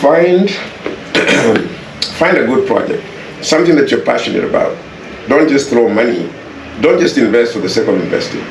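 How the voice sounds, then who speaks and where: A Kenyan man speaks conversationally as an interview guest, at a middle pitch. He is earnest and repeats phrases to make his point. The recording is echoey, with some faint noise behind him. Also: expressive, normal speed, gravelly. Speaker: interview guest, property developer. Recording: echoey, distant mic, faint background noise.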